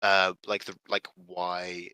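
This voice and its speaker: Male participant, lower pitch, close dry sound, casual and rambling feedback, unsure phrasing full of fillers. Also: monotone, takes it slowly, sharp tone, clear, resonant tone, no echo. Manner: hesitant, rambling, heavy use of "like". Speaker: participant giving script critique.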